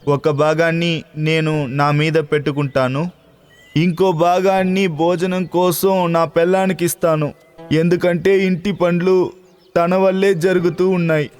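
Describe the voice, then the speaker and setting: Guy doing a humble clever farmer for a kids cartoon, high voice, talks slow, respectful. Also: little pitch movement, darkish tone, clear, resonant tone, in a large hall, lightly acted. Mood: humble, explaining. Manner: respectful, patient explaining. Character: humble, clever male farmer in a fairy tale. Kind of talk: children's cartoon story dialogue. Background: background music.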